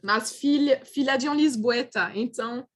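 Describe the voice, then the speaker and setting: Higher-pitched woman with a French accent. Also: normal speed. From France. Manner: hesitant, frequent filler "é" and restarts. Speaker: panelist. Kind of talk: online roundtable presentation. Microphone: video call.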